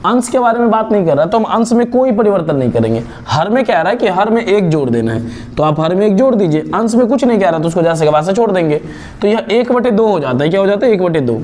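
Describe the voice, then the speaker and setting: A young man from India teaching a step-by-step math lesson, explaining slowly and asking and answering his own questions. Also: high voice, lively intonation, a little mellow.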